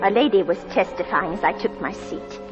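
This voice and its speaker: A woman's voice: medium pitch, brisk, lightly acted. A woman playing a fearful middle-aged landlady and mother, narrating breathlessly and melodramatically in 1940s radio style. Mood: tense confiding. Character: anxious, suspicious landlady, wife and mother. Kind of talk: 1940s radio acting, dramatic first-person narration. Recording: dull 1940s radio recording. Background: dramatic music.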